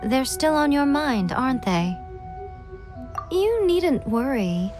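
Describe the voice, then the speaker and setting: An actress playing a sly, self-assured shrine priestess in a video game cutscene, coy, teasing and aloof. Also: female voice, sits high, very animated, takes it slowly, exaggerated voice. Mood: gentle, reassuring. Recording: music clearly behind the voice.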